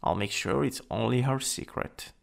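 A man playing a shy, lovestruck coworker in an intimate boyfriend ASMR roleplay, speaking softly and hesitantly, at times nearly whispering. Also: mid-range voice. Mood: curious, coaxing. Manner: hesitant, halting, breathy drops into near-whisper. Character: shy lovestruck male coworker confessing to his crush.

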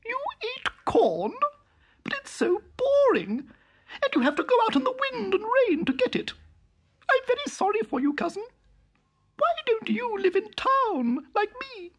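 Woman putting on a squeaky snooty town mouse. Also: sing-song, measured pace, heavily acted. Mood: haughty. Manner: high squeaky, sniffy and showy. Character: haughty proud female town mouse, fussy.

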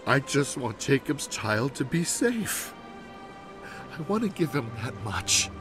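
A man's voice: mid-range voice, very animated, slow. An actor playing an anguished middle-aged man in a video game drama, urgent and argumentative as he pleads for his family. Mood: pleading sorrow. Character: anguished, insistent brother-in-law pleading for the baby. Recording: music behind the voice. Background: music.

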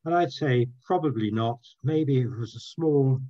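A man's voice: mid-range voice, warm tone, muffled recording. An older man, the guest speaker, giving a talk and taking questions on a video call in a room at home, in a friendly, chatty way. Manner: friendly, chatty, quick to agree.

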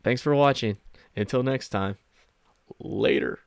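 A man's voice: medium pitch, a little slow, creaky, no echo. A man in his thirties giving a casual tech tip to camera as a streamer, playful and self-deprecating, close on a desk microphone. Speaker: streamer and video host.

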